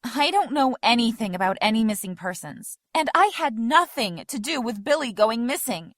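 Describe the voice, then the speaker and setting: A woman's voice: a little high, expressive, moderate pace, fairly bright tone, no echo, clean studio sound. A woman playing a defiant, sarcastic and frightened young woman in an audio drama, indignant and skeptical in her delivery.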